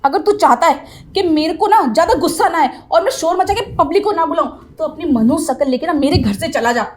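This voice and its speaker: An actress as a stern female tutor in a short drama. Her high-pitched voice has a sarcastic, scolding edge, with background music under it. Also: brisk, a little mellow, natural voice. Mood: angry, threatening. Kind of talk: acted Hindi short drama.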